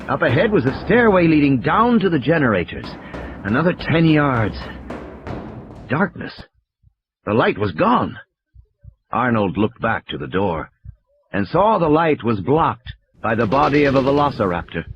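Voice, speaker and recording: A man narrates an audiobook in a dramatic scripted style. His delivery is lively in pitch, with music underneath and a slightly dull recording. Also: measured pace, pauses often. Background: music bed.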